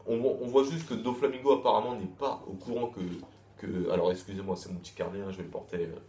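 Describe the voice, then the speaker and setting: A man's voice: on the low side, steady tone, quick pace, warm tone. A man giving a casual, rambling review with many restarts and crude slang. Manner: loose, repetitive, with frequent restarts and crude slang. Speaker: manga chapter reviewer. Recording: echoey room, faint background noise.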